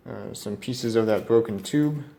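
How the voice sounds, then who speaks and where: Low-voiced man musing in an echoey room. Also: vocal fry, dark tone. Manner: musing aloud, tentative and halting. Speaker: radio repair hobbyist presenting. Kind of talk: unscripted hands-on walkthrough. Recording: roomy echo, background noise, varying distance.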